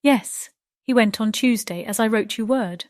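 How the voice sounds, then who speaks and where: A woman's voice: a little high, normal speed, dry recording, pitched above the performer's own voice, close to an everyday voice. Woman doing a sweet dutiful young lady for an audiobook, earnest n composed, very dry recording. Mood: hopeful, earnest. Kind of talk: dramatized audiobook character reading.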